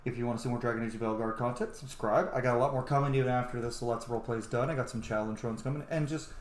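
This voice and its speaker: A man's voice: mid-range voice, steady tone, quick pace. A man giving casual let's play commentary as host of a gaming channel. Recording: roomy echo, faint background noise.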